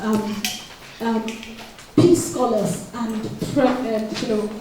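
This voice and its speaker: A woman's voice: on the high side, dark tone, a little hiss or hum. A woman with a Nigerian accent giving an explanatory public speech as an author at an event. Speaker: author speaking at book launch. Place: event hall. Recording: very echoey hall, distant mic.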